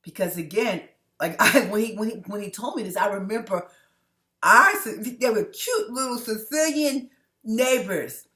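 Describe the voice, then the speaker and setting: A woman's voice: a little high, lively intonation, normal speed, bright, forceful tone. Rambling woman talking to her viewers. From New York City. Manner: rambling, restarts often, keeps asking the viewers "okay?". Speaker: vlogger. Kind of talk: personal monologue to viewers.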